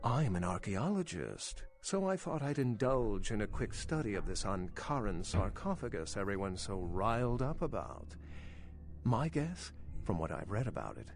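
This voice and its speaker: A man's voice: mid-range voice, big pitch swings, a little slow, a little mellow, soft background music. A man playing an erudite, skeptical vampire archaeologist in a video game, speaking in an articulate, sardonic and pedantic way. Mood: measured explaining. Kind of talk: video game dialogue acting.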